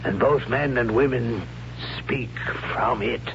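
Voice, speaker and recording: An awed man of a primitive world acted by a man in a 1970s radio play. His voice is low and he feels his way slowly through halting, solemn phrases. The old recording is dull and hissy. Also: lightly acted. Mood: awed, reluctant. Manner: halting, solemn, hushed dread. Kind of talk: 1970s radio drama acting.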